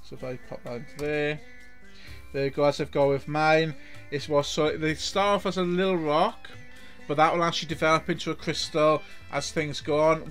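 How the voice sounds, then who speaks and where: A man's voice: fairly high pitch, a bit bright. Welsh man, middle-aged, casual rambling game-stream chat. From Wales. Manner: rambling, many restarts and repeated words. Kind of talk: casual live-stream commentary. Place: home room.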